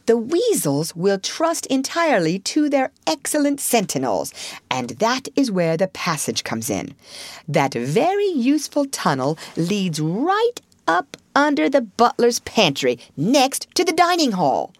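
A woman voicing a stern older male badger from a storybook, with a dry, authoritative and deliberate delivery. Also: fairly high pitch, wildly swooping pitch, no echo, pitched above the performer's own voice, heavily acted. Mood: plotting, assured. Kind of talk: storybook character voice.

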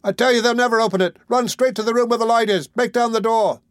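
Man acting out a frantic high-pitched shouting boy. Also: quick pace, no room sound, studio quality. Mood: frantic, screaming. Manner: shrill, excited shouting. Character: frantic, fearless young boy denouncing a murderer.

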